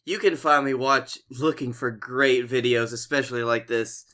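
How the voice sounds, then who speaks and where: Bright-voiced man in casual podcast chat. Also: mid-range voice, fairly even intonation, moderate pace. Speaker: podcast co-host.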